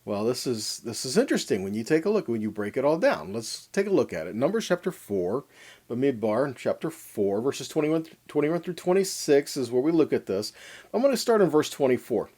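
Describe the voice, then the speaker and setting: Mellow, expressive man teaching scripture. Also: medium pitch, brisk. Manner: didactic, asks and answers own questions, checks in with "okay". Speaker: teacher of a weekly Torah portion. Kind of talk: Bible teaching, explaining and reading scripture.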